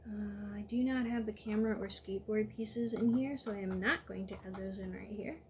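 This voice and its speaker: A woman walking viewers step by step through a toy building set in a calm, instructive way, a little hoarse from losing her voice. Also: medium pitch, a little fast, a little hiss or hum.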